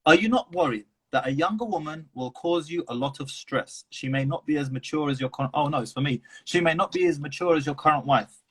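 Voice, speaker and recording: Fast-talking husky man. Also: on the high side, a bit bright, poor audio. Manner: quick, talkative, fills pauses with mm. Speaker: live stream host. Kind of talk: casual livestream chat.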